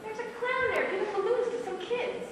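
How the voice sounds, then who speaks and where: A woman's voice: very high-pitched, normal speed. Woman acting exasperated young sister, distant echoey stage. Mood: curious. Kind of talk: live amateur stage play acting. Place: small theatre stage, distant microphone. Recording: echoey, noisy theatre recording from far off.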